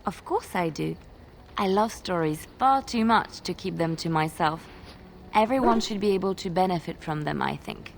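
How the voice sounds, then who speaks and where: An actress playing an earnest, devout young bard who solemnly tells sacred legends in a fantasy video game. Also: female voice, on the low side, animated, normal speed, creaky, a little hiss or hum.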